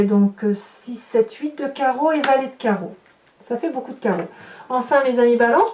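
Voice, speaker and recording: Woman, tarot reader, fairly high voice, conversational, improvised commentary, distant microphone. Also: a little mellow. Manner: conversational, tags phrases with questioning interjections, comments as cards turn up. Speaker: tarot reader and horoscope host. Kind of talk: improvised tarot card reading addressed to viewers. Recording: echoey room, distant mic, faint noise.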